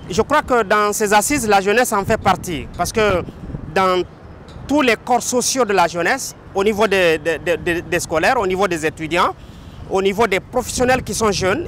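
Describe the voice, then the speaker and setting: A man's voice: high-pitched, a bit bright, a little hiss or hum. A man, making a hesitant public statement to a microphone. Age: 40s. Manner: hesitant, repeats words, uses "n'est-ce pas" as a filler. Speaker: interviewee.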